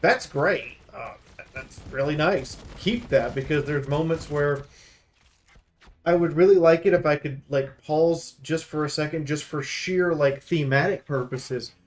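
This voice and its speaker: Higher-pitched man giving casual game commentary. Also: a little slow. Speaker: video game reviewer.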